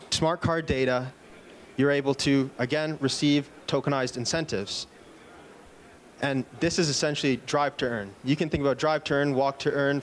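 A man in his thirties giving a product keynote at a conference, upbeat, with a few fillers and restarts, speaking into a handheld mic on an indoor stage. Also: mid-range voice. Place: conference stage in a large indoor event room. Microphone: handheld microphone.

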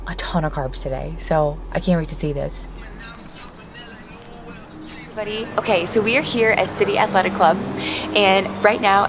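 A woman in her thirties chatting excitedly to a handheld camera, at a pool and then in a gym at night. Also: medium pitch. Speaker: bodybuilding vlogger. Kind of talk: casual vlog to camera. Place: poolside outdoors, then large gym at night. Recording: music playing behind.

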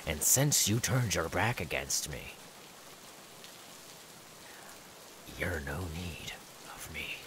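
Gloating serial killer, low voice, slow delivery, theatrical menace, ASMR horror roleplay. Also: male voice, noisy background. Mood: cold menace. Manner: theatrical, swinging between hushed whispers and manic outbursts. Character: unhinged gloating male serial killer, menacing, mocking, volatile.

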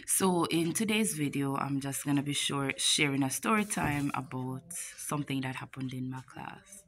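A woman's voice: extremely low pitch, normal speed. A young woman with a Jamaican accent, a teacher telling a casual story-time vlog to a phone camera in her classroom, chatty and playful. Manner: chatty, animated retelling with playful asides. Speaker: teacher and vlogger. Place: classroom, indoors. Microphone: phone camera at arm's length.

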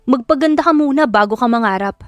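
A woman's voice: sits high, fast, no echo, voice pushed up, close to an everyday voice. A woman voicing a cruel, deceitful husband in an audio love drama, scornful and taunting. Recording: music behind the voice.

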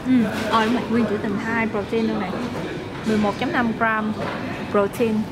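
A woman's voice: a little high, level tone, moderate pace, over a noisy crowd, rough recording. A young woman co-hosting a casual vlog, chatting as she explains groceries in a supermarket. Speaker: vlog co-host. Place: supermarket, indoors.

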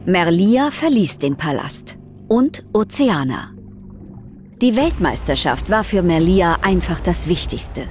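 A woman's voice: fairly low pitch, sing-song, slow pace, darkish tone, a little hiss or hum. A woman narrator telling a scripted story in an audio drama.

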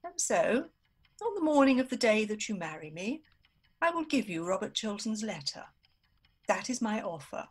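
A woman's voice: medium pitch, sing-song, normal speed, husky. A woman acting a witty, flirtatious, scheming society woman in a radio play, arch and languid. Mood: coaxing, amused.

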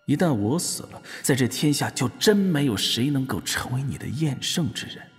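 An actor playing a proud, cold young eunuch martial master in an audio drama, speaking formally and sternly. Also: male voice, mid-range voice, animated, normal speed, slightly rough. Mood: challenging, probing. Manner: stern, probing, archaic formal diction. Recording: long hall reverb, music underneath. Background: background music.

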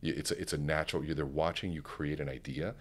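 A middle-aged man with an extremely low, resonant baritone speaks calmly on a podcast. He paces his thoughts with deliberate pauses, like a trained speaker coach. The sound comes from a close studio microphone. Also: breathy edge. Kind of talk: conversational podcast interview. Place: podcast studio with curtained backdrop. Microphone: studio microphone on a stand, headphones on.

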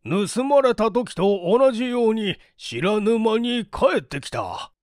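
A man voicing a polite, earnest gentleman in a story reading, deliberate and expressive. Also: high-pitched, no room sound, clean studio sound, pitched above the performer's own voice. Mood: surprised relief.

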